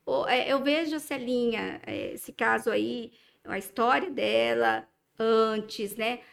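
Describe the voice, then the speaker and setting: Woman doctor guest, mixed brazilian accent, real gravelly fry on a highish voice, lots of um and restarts. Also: sing-song, takes it slowly. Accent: mixed Brazilian Portuguese. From Minas Gerais, Brazil. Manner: hesitant, many fillers and restarts. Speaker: dermatologist guest. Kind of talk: casual talk-show chat.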